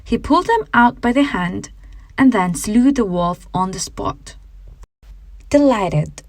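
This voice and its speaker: A woman's voice: a little high, expressive, slow, a little mellow, no echo. A woman reading a children's fairy tale as scripted audiobook narration.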